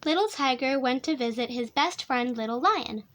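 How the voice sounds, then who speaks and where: A woman with a high voice reads a children's story aloud. Her delivery is soothing and expressive, shaped for a young listener at bedtime. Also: normal speed, slight background noise. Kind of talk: animated children's storybook reading.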